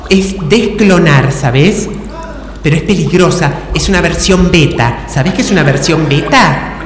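A woman with a Rioplatense Argentine accent playing a bossy, scheming, chatty friend in an improvised stage comedy. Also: low voice. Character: bossy scheming female friend, an excitable amateur inventor. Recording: echoey hall, clearly noisy.

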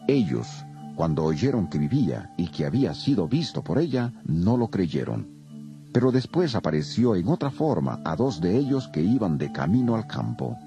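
A man's voice: on the low side, big pitch swings, normal speed, creaky, dull audio. A man narrating scripture as a solemn, dramatized scripted reading. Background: music.

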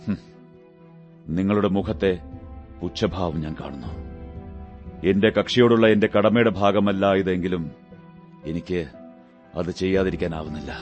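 An actor playing a shrewd, commanding male detective in a Malayalam radio drama, speaking in a measured, deliberate way. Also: deep voice, muffled recording, lightly acted. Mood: stern, scornful.

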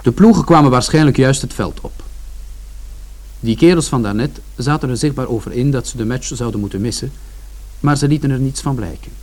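An actor playing a reflective son who narrates a bleak family story in a radio drama. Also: male voice, medium pitch, fairly quick, darkish tone, lightly acted. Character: George, reflective younger son recalling his family's bleak Saturday. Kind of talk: scripted first-person narration in radio drama.

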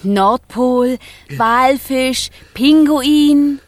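An actress in a children's radio play voicing a young, wild and devoted fire spirit, expressive, urgent and childlike. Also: female voice, high voice, very slow, no room sound. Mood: eager.

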